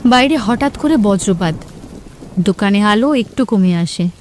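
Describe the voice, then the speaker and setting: A woman's voice: medium pitch, lively intonation, measured pace, darkish tone, a little hiss or hum. A woman narrator reading a scripted romantic story with sentimental, literary phrasing. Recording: music clearly behind the voice.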